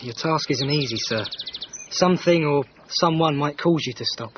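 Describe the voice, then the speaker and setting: An actor playing a deferential but guarded manservant in a radio drama, clipped, formal and deadpan. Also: male voice, on the high side, moderate pace, lightly acted. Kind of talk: period radio drama dialogue. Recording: dull band-limited radio, clear noise.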